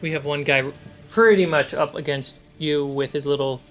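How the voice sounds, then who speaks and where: A man's voice: a little high, moderate pace, soft background music. A man working as game master, narrating a tabletop fantasy game in a conversational way and calling out rules.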